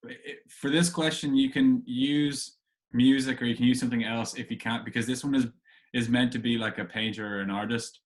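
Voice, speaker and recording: A man's voice: medium pitch, steady tone, brisk. A man hosting a casual interview, asking questions in a hesitant, agreeable way. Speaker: podcast host, interviewer.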